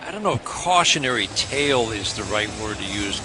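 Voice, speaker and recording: A man's voice: medium pitch, big pitch swings, brisk. American male radio baseball announcer, medium register, live play-by-play, noticeable ballpark ambience behind. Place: stadium broadcast booth. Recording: clear outdoor ballpark ambience noise.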